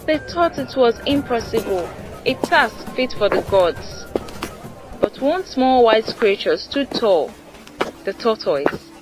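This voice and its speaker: A woman's voice: fairly high pitch, sing-song, takes it slowly, music in the background, rough recording. A woman narrating a scripted folktale as a storyteller.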